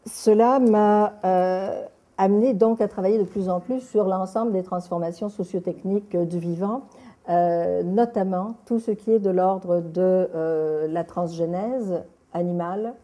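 A middle-aged woman, a documentary filmmaker answering an interview, pausing often on filler hesitations. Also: lowish voice, mellow. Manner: halting, many filler hesitations.

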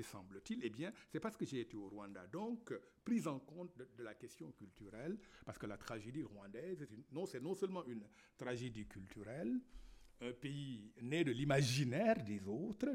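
Lively, halting man speaking. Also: mid-range voice. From Senegal. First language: Wolof. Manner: halting, many fillers and repeated words. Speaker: novelist and university lecturer. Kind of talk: reflective panel talk.

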